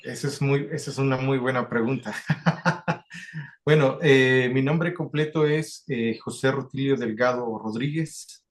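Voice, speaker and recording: A man's voice: mid-range voice, a little slow. A Salvadoran man, a community facilitator, talking in a video call interview, with many fillers and restarts.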